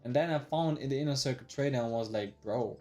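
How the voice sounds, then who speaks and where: A man's voice: medium pitch, fast talker, warm tone, soft background music. A man in his early twenties talking casually on a live stream, slangy and rambling, with plenty of swearing and "bro". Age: 20s. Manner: slangy, swears often, says "bro" a lot; rambling with restarts, replays his past thoughts aloud. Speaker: day trader live-streaming.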